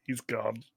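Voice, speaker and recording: A man's voice: fairly bright tone, no room sound, clean studio sound. A man co-hosting a podcast in casual comedic banter, with a teasing, sweary way of interjecting. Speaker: podcast co-host.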